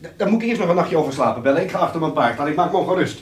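A man playing a sly, easygoing rogue who poses as a merchant in a historical radio drama, with a husky voice and a theatrical, questioning manner. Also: fairly high pitch, expressive, rapid-fire. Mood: doubtful, scheming.

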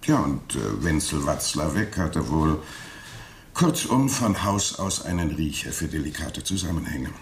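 A wry police inspector in a radio play. The voice is extremely low and gravelly, yet lively in melody, with officious stock phrases and evasive hesitations. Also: male voice, measured pace, slight background noise, heavily acted. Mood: wry, confiding. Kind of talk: 1980s German radio drama acting.

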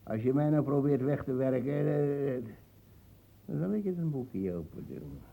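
An actor playing a proud, defensive old colonial ex-soldier uncle in a radio play, talking in hesitant, scornful bursts. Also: male voice, medium pitch, expressive, unhurried, creaky, mellow, low-quality recording. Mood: scornful, threatening. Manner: hesitant fillers, tag words, scornful laughing.

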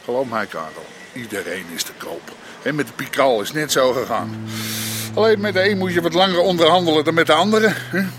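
An actor voices a gruff, streetwise gangster boss from in a radio play, blunt and coarse, talking as someone who knows everyone can be bought. His voice sits a little high for a man. A faint hiss lies under the recording. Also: brisk, natural voice. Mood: scheming. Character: middle-aged Amsterdam underworld boss, gruff, scheming. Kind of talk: radio drama acting.

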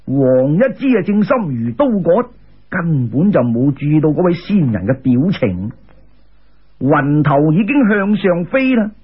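Husky, animated Cantonese male storyteller. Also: on the high side. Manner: animated, colloquial, emphatic. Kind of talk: dramatic narration of a folk tale. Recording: muffled lo-fi radio-style recording.

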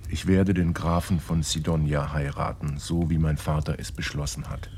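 A man's voice: very deep voice, steady tone, moderate pace, darkish tone, a little hiss or hum. A man playing a composed, observant guest in a German radio drama, narrating reflectively and matter-of-factly. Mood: reading aloud. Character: composed, observant male guest recounting his stay.

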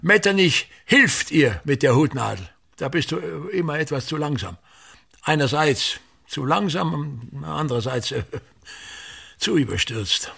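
A domineering old theatre director in a radio play. His voice is raspy, forceful and fairly high for a man, leaping about as he declaims. He is pompous and obsessive, lecturing in fits and starts. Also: expressive, unhurried, exaggerated voice. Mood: emphatic, lecturing, then critical, pedantic.

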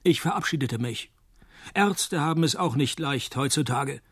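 An actor playing a cynical, wisecracking male private detective in a radio play, narrating and talking in a dry, laconic deadpan. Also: medium pitch, moderate pace, slightly rough, dry recording. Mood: dry, sardonic.

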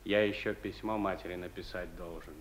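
A man's voice: sits low, fairly even intonation, fairly quick, slight background noise, close to an everyday voice. A male actor playing a weary, matter-of-fact young soldier in a war radio drama.

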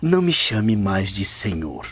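A flirtatious Roman suitor with a Brazilian accent, smooth and courteous. His voice is fairly low and animated. The radio drama recording is a bit muffled, with music behind. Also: unhurried, a little hiss or hum. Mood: flirtatious, teasing.

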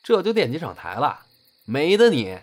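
A man's voice: fairly high pitch, wildly swooping pitch, normal speed. A man acting a teasing, bossy young senior apprentice in an audio drama. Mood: firm. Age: young adult. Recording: background music under the voice.

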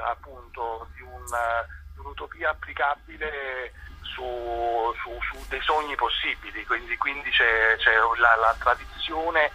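Husky, bright-voiced man, halting interviewee. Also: mid-range voice. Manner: halting, searching for words, repeats words. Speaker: theatre director, interview guest. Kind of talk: informal radio interview answers. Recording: dull, band-limited radio or phone line.

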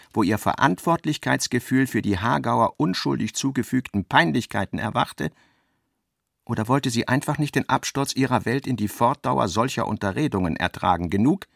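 A man narrating a literary radio drama, delivering long scripted sentences in a precise, measured way. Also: medium pitch, vocal fry, fairly bright tone, no echo, clean studio sound. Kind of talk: literary scripted narration, voicing reported dialogue.

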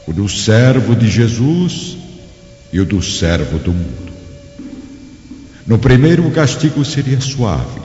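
A grave spirit judge played by a man passes sentence in a radio drama with a Brazilian accent. The words come slowly and solemnly, with wide swings of pitch and long reverb. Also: darkish tone. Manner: grave, solemn, drawn-out pronouncements. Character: stern spirit judge of the soul's tribunal. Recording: dull audio with long reverb.

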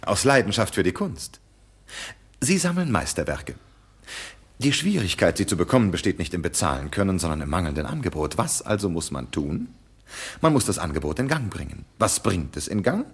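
A confident, sharp-witted detective in a radio drama. He has a very low, slightly husky voice with lively swings in pitch. His delivery is dry and accusing. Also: normal speed, heavily acted.

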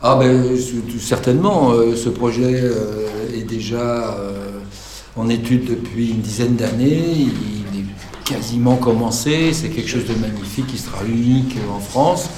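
A man's voice: medium pitch, a little slow, a little mellow, natural voice. A man playing a boastful, hesitant engineer answering questions at a mock press conference in a radio fiction. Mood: self-assured. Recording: roomy echo with faint noise.

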